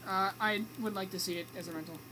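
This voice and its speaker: A woman's voice: medium pitch, fairly even intonation, fast talker. A woman co-hosting a radio show, chatting casually through movie reviews in a hesitant, hedging way. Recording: noisy amateur recording.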